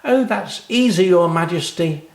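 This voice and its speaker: A man voicing a bouncy, stubborn little girl in a children's story, chanting loudly in sing-song. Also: high voice, expressive, measured pace, vocal fry, echoey room, pitched above the performer's own voice, heavily acted. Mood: eager, pleased.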